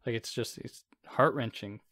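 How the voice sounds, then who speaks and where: A man hosting a casual solo podcast, explaining philosophy in a soothing, relaxed, rambling way with self-deprecating asides. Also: mid-range voice, moderate pace, no room sound, studio quality. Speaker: podcast host.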